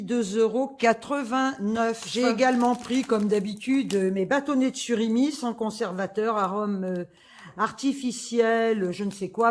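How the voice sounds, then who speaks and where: A woman talks through her shopping haul for her viewers. She rambles casually, dropping fillers and asides between prices. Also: fairly high pitch. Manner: chatty, lots of fillers and asides. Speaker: vlogger. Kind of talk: casual grocery haul vlog.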